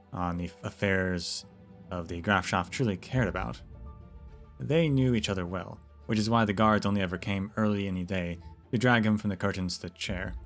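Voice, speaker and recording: A man reads a game's story to his stream, relaxed and conversational, breaking off now and then for a quick aside. His voice sits low and even. Soft music plays faintly behind him. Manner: relaxed, with chatty asides and small restarts. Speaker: streamer narrating a game. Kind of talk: casual story read-aloud to a stream.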